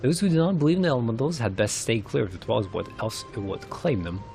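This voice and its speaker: A man's voice: medium pitch, fast talker, gravelly, a little mellow, soft background music. A man reading fantasy game dialogue aloud in character, with a formal, theatrical delivery. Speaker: streamer narrating game story.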